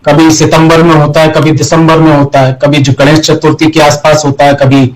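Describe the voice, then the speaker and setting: A man speaks in a high register. He debates politics combatively, pressing his case with insistent rhetorical questions, as a television panelist would. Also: flat delivery, normal speed, low-quality recording.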